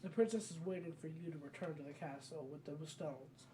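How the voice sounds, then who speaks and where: A solemn woman guardian from a fantasy video game, voiced by a player reading aloud. The delivery is measured and instructive, with a dark, mellow tone. There is slight noise in the home recording. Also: medium pitch, fairly even intonation, recorded from a distance, voice pushed down, close to an everyday voice.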